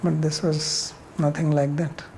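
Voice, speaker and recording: A man's voice: a little high, little pitch movement, a little slow, darkish tone, a little hiss or hum. A man with an Indian accent leading an informal class discussion as a spiritual teacher, answering questions bluntly and challengingly with rhetorical questions.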